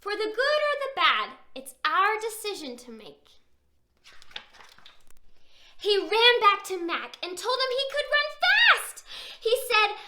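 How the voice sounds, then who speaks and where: High-pitched, animated woman reading to children. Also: slow. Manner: exaggerated wonder, checks in with questions to child listeners. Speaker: children's picture book reader and host. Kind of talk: animated read-aloud to young children. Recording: roomy echo.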